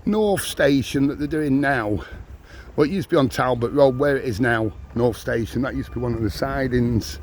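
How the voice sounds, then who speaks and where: An older man, chatting casually while walking a town tour, his voice a little squeaky and strained. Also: normal speed. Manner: chatty, rambling, self-mocking. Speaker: walking vlogger. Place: outdoors on busy town streets. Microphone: handheld camera on a gimbal. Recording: outdoor street noise.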